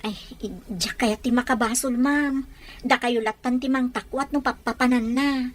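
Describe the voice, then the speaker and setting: High-voiced flustered maid played by a woman. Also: normal speed, lightly acted. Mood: evasive, nervous. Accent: Filipino. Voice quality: high-pitched. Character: deferential, flustered household maid. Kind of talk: Ilocano radio drama acting.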